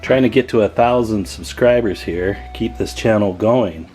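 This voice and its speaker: Man, lowish pitch, casual video host, chatty, folksy, enthusiastic bragging, hands-on repair commentary, music in the recording. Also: normal speed, darkish tone.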